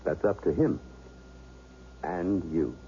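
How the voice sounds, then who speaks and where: An actor playing a calm, matter-of-fact hospital doctor in a 1970s radio drama. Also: male voice, low-pitched, takes it slowly. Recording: dull old radio recording with faint hiss.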